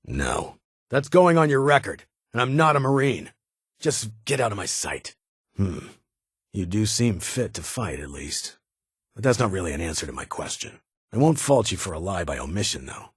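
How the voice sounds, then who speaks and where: Husky, low-voiced ghoul military officer. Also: male voice, very animated, normal speed, no echo, heavily acted. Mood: stern, skeptical. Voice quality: raspy, gravelly. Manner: clipped, formal military delivery with dry authority. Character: stern ghoul military officer in an underground vault, guarded and dutiful. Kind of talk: video game character dialogue.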